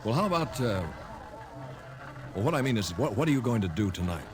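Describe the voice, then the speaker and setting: An actor playing an earnest newspaper reporter, hesitant and questioning, in old radio drama. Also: male voice, medium pitch, very animated, a little fast, natural voice. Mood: inquisitive.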